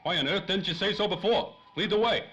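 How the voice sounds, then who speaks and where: A man's voice: high voice, a little fast, a bit bright, highs cut off. A man playing an impatient, wisecracking, slangy tough guy in 1930s radio drama style. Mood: exasperated, urgent. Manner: slangy, snappy. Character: hard-boiled, impatient, wisecracking male 1930s detective type. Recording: faint noise, light ambience.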